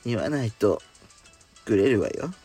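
A man's voice: fairly low pitch, big pitch swings, unhurried, mellow, husky, soft background music, voice pushed down, heavily acted. A man playing a coy, clingy, pampered sweetheart with feminine speech in an intimate bedtime audio drama. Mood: coy, teasing. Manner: coy, teasing, feminine wording.

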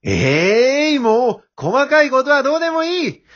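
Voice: high-pitched, sing-song, normal speed. Radio drama wolf villain, played by an amateur: male, blustering, exasperated, easily bullied; slightly muffled lo-fi sound. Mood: menacing, exasperated. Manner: blustering, whiny, exasperated retorts. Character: hapless male wolf, blustering villain turned put-upon porter.

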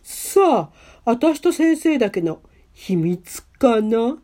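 A woman's voice: on the high side, sing-song, very slow, warm tone, full character voice. A woman playing a nonchalant, teasing teenage schoolgirl who plays cupid in an amateur radio drama. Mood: sly, teasing.

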